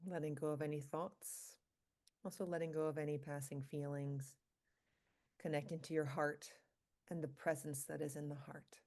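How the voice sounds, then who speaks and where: A woman leading a guided meditation for a group, speaking calmly and slowly in short, spaced phrases. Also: deep voice. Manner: calm, slow, pausing between short phrases. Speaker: meditation leader, physician.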